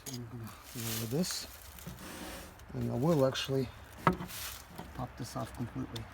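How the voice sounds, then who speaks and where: Hoarse male mechanic, 30s, gravelly voice, outdoor phone recording with noise behind. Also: medium pitch, fairly even intonation, moderate pace. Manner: thinking aloud while working, many fillers. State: hoarse, losing his voice. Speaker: mobile mechanic. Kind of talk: casual how-to vlog. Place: outdoors in a rural yard by a van. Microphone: phone camera held close.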